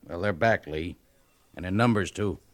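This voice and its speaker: A man acting as a commanding cavalry major in a 1950s radio western. His voice is fairly low and very dry in sound, with no room around it. He speaks with stern, sardonic authority. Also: moderate pace, no room sound, close to an everyday voice. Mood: dry reproach. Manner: clipped, sarcastic, authoritative. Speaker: actor in a radio play.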